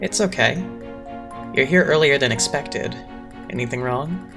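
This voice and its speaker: A man acting a poised, condescending young idol leader in an anime-style dub, measured and theatrical. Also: medium pitch, music in the background, close to an everyday voice. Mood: calm, condescending.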